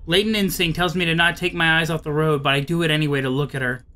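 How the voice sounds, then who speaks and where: Male player as weary apocalypse driver in a visual novel: high, bright voice, somber, anxious, music underneath. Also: fairly even intonation, quick pace. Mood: brooding. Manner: somber, brooding, hesitant. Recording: music behind the voice.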